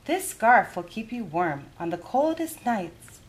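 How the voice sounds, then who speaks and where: Lady doing kind old granny in a kids story, chatty n fussy, voice goes high and all over the place. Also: measured pace, poor audio. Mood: chatty, fussing. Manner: animated, exclamatory storybook dialogue. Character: kindly, chatty, hospitable old grandmother hosting a guest.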